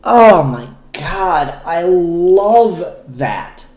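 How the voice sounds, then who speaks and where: Male comic reviewer, slightly high voice, chatty and opinionated, rambling enthusiasm, noticeably roomy sound. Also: sing-song, extremely slow, darkish tone. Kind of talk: casual review commentary, reading comic dialogue aloud.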